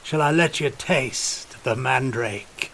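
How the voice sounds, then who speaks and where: A man's voice: medium pitch, takes it slowly, creaky, fairly bright tone, slight background noise. A man voicing a calculating, fanatical old regiment officer, wheezy and intoning like a preacher, in an audiobook. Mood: teasing, solemn. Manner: intoning, preacherly, rustic archaic turns of speech.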